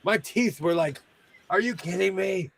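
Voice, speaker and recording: A man with a fairly high voice rambles through a relaxed sewing-room chat. He is playful and self-mocking, and he often breaks off a thought before he finishes it. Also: a little slow, gravelly. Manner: rambling, jokey, trailing off mid-sentence. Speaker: sewing show host. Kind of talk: casual chat while sewing.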